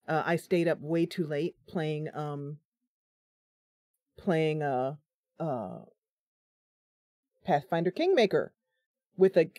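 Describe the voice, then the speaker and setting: Tired, self-deprecating woman streamer; slow, low-ish, chatty; dry close desk mic. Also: a little mellow, dry recording. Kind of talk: casual live-stream chat. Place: home room at computer.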